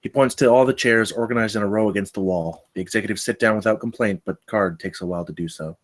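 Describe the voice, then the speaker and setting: Low-voiced man reading stage directions. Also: quick pace. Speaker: stage directions reader at a table read. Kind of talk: screenplay narration read aloud.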